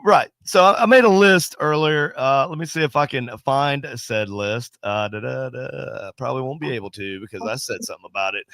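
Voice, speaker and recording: A man co-hosting a paranormal podcast, chatting casually with a nasal, congested voice from a sinus cold, rambling and joking and giving animated shout-outs to viewers. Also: medium pitch, brisk, few pauses, dry recording. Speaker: podcast co-host. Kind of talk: casual chat.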